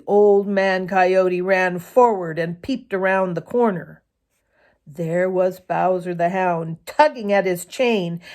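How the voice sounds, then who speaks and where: A woman reading a children's story aloud in an expressive, deliberate storytelling manner with varied emphasis. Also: medium pitch.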